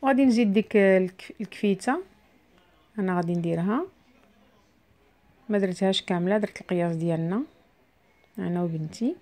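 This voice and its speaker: A woman with a Moroccan Arabic accent talks quickly through a home recipe. She explains each step as she cooks, in a relaxed, chatty way. Her voice sits at a middle pitch. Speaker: cooking vlogger. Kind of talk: casual cooking voice-over.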